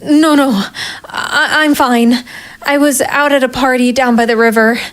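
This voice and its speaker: A woman's voice: sits high, steady tone, a little slow. A woman playing a hardboiled, sardonic private investigator in a noir audio drama, with a wry, self-mocking manner. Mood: flustered, pleading. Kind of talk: first-person noir audio drama narration and dialogue.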